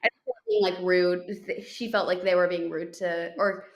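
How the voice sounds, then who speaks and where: A woman's voice: lowish voice, little pitch movement, moderate pace. A young woman co-hosting a podcast, telling a story in a chatty way with many fillers and restarts. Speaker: podcast co-host. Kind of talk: casual chat.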